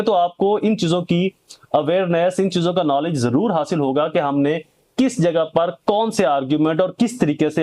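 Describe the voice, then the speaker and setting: A man's voice: on the high side, a little mellow. A man with a Pakistani accent giving an informal, emphatic legal lecture to viewers, mixing in English legal terms and posing rhetorical questions. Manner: emphatic, poses rhetorical questions and answers them. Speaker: advocate and legal explainer.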